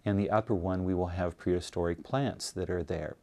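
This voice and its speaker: A man's voice: low-pitched, steady tone, fairly quick, creaky, darkish tone. A man giving an informative presentation as a college science department representative.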